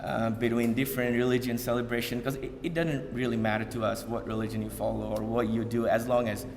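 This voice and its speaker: A man from Bangladesh talks to an audience in a loose, unscripted way. He stops and restarts often and leans on fillers. His voice sits at a middle pitch and carries a long hall reverb. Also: flat delivery. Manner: halting, many fillers and repeated starts. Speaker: community speaker. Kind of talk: informal public talk to an audience. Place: event hall.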